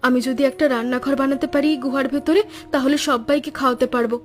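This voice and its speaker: A woman as a high-voiced, caring village wife. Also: fairly even intonation, fast talker, lightly acted. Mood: confident, reassuring. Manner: reassuring, caring, practical. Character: kind, resourceful village woodcutter's wife, young mother. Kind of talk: Bengali cartoon story voice acting. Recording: music playing under the voice.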